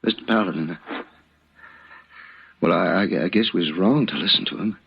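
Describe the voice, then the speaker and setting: An actor playing a humble, plain-spoken townsman in a 1950s radio Western, halting and folksy. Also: low voice, creaky, a little hiss or hum. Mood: sheepish, apologetic. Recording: muffled old radio recording.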